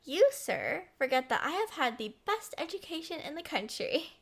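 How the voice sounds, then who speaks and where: A woman's voice: high voice, big pitch swings, normal speed, gravelly, heavily acted. A woman playing a smitten, possessive young princess in a romantic roleplay audio, coy, entitled and playfully defensive. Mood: coy, commanding.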